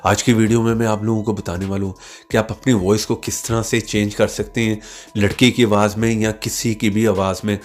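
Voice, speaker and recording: A man's voice: on the low side, little pitch movement, a little fast. A man hosting a tech tips channel, giving app tutorial narration step by step, often adding little check-in tags as he instructs.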